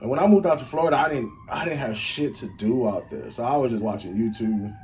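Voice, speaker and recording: Animated young Chicago man telling stories. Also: mid-range voice, fast, slight background noise. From Chicago, USA. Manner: rambling, animated storytelling with lots of "like". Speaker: guest, audio engineer and rapper. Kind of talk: casual storytelling interview.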